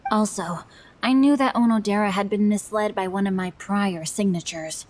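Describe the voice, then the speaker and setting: A woman's voice: mid-range voice, normal speed, darkish tone, a little hiss or hum, lightly acted. A woman voicing a refined, easily flustered young male novelist in a video game, speaking formally and anxiously. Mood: embarrassed, confessing.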